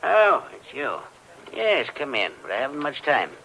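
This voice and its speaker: An actor playing a stern, guarded older professor in an old radio mystery, with a brusque, authoritative manner. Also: male voice, mid-range voice, huge pitch swings, moderate pace, creaky, sharp tone, a little hiss or hum, heavily acted, poor audio. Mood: gruff, dismissive. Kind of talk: 1940s radio mystery acting.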